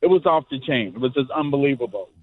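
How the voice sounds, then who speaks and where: A man's voice: medium pitch, expressive, fast talker. A middle-aged man calling a radio show and telling a casual personal story. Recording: tinny narrow phone line.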